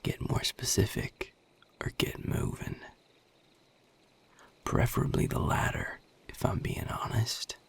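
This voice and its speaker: A man voicing a guarded, gruff vampire with a Southern US accent in an audio roleplay, husky and breathy, drawling with sardonic, curt authority. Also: slow pace, long pauses, whispered, natural voice. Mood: weary, curt. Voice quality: gravelly, husky, breathy low voice. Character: guarded, gruff Southern male vampire defending his territory. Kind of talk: audio roleplay addressed to the listener.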